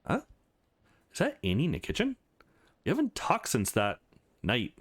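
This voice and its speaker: A man's voice: mid-range voice, wildly swooping pitch, moderate pace, slightly rough. A man hosting a visual novel playthrough, reading the story aloud and adding casual, chatty commentary, with music behind him. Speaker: gaming channel host.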